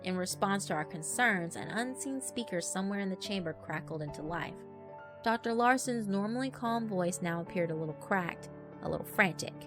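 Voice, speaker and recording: A woman giving a grave, deliberate, dramatic reading of a horror story as narrator. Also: medium pitch, lively intonation. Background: background music.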